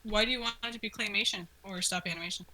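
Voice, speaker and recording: A woman's voice: medium pitch, rapid-fire, pushed and bright, rough recording. A woman co-hosting a casual commentary, informal and enthusiastic as she reacts and asks questions. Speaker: co-host asking questions about the series.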